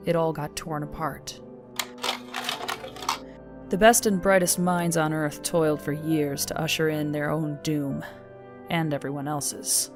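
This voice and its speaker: A woman performing grave, measured narration as the solemn storyteller of a post-apocalyptic audio drama. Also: low voice. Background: music.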